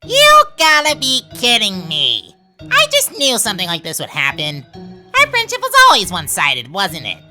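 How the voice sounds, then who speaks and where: A woman's voice: medium pitch, huge pitch swings, moderate pace, bright, forceful tone, faint music behind, full character voice. A woman voicing a manipulative talking flower who poses as a best friend, with a taunting, sarcastic, theatrical delivery in a game dub. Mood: bitter, gloating. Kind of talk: dramatic game fan dub.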